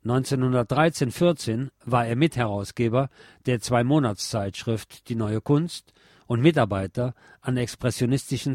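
A man reading scripted literary narration as a radio presenter, in a measured, deliberate, declamatory manner. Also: mid-range voice, a little mellow, dry recording, studio quality.

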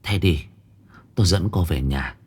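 A man voicing an old female fortune-teller who speaks gravely and solemnly, in a low, husky voice. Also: a little slow.

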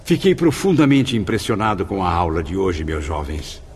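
A man's voice: sits low, big pitch swings, moderate pace, a little mellow, breathy edge, heavily acted. An actor playing an earnest male spirit with a Brazilian accent, recounting his afterlife studies in a formal, reflective radio drama style. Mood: awed, reflective. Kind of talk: radio drama narration in character.

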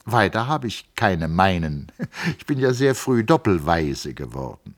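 A man's voice: sits low, big pitch swings, a little slow, dry recording, studio quality, pitched above the performer's own voice. A man voicing an earnest, eager young male engineer in a novel reading. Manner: earnest, eager, increasingly heated.

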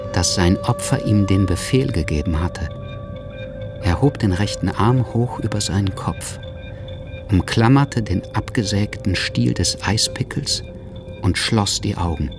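An actor playing a writer who narrates a literary radio play in a grave, measured, suspenseful manner. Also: male voice, fairly low pitch, lively intonation. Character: grave male writer narrating his story. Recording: clear music behind.

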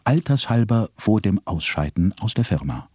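A man's voice: lowish voice, big pitch swings, moderate pace, darkish tone, slightly rough. A man narrating a radio drama with ornate, slyly ironic phrasing. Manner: ornate, ironic listing delivery.

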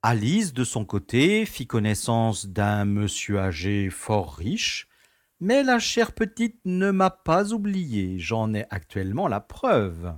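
A man's voice: fairly low pitch, huge pitch swings, slow, no room sound, clean studio sound, exaggerated voice. A man playing an easygoing, genteel prison director in an audio drama, telling things in a wry, nostalgic way. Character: urbane, easygoing prison director, former playboy.

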